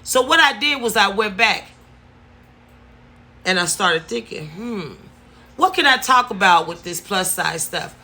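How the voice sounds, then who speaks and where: A woman's voice: mid-range voice, animated, a little slow, long pauses, pushed and bright. A woman, talking casually to her viewers and stressing points by repeating words. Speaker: vlogger. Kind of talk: casual story-time talk to camera.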